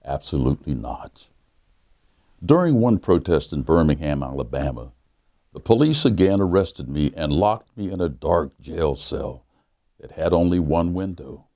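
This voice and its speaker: A pastor with a very low, mellow male voice reads a children's book aloud. His storytelling is measured, and he slows down to lean on the key lines. The sound is dry and close, with no room around it. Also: lively intonation, no echo.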